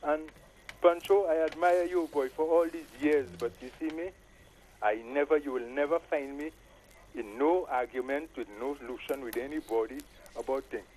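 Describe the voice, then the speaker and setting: St lucian guy calling in, highish voice, patois, bit of noise, pushing his point. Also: fairly even intonation, normal speed, darkish tone. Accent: Saint Lucian Caribbean. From Saint Lucia. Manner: emphatic, repeatedly appealing to the listener. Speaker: caller to a live talk show. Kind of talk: opinionated casual chat with patois. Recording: noisy call-in line.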